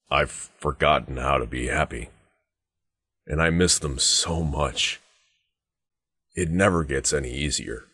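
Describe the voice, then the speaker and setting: An actor playing a stern, grim wasteland deputy sergeant in a video game, speaking in a terse, measured, guarded military way. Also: male voice, rumbling low, a bit bright, no room sound, clean studio sound, full character voice. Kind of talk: scripted video game dialogue.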